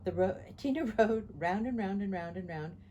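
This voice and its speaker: A woman reading a picture book aloud to children in an expressive, animated storytelling style. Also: medium pitch, moderate pace, muffled recording, a little hiss or hum. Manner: animated, repeats sound words.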